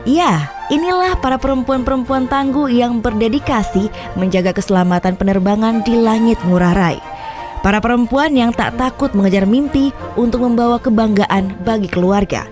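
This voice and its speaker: A woman with a slightly high voice narrates a news feature in a scripted style. She, and music plays clearly behind her. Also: normal speed. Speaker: reporter and narrator. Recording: voice-over with clear background music.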